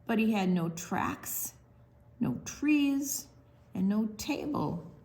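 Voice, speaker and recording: A woman reading a picture book aloud to young children, animated, pausing to explain things simply and ask questions. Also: medium pitch. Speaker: children's story time reader.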